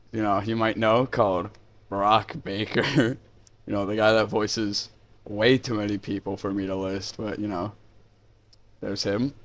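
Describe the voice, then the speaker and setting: Guy with a lowish voice chatting off the cuff, reviewing stuff, kinda rambly but into it. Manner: informal, enthusiastic, rambling. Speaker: YouTube reviewer, host. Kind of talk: casual unscripted review of indie animation.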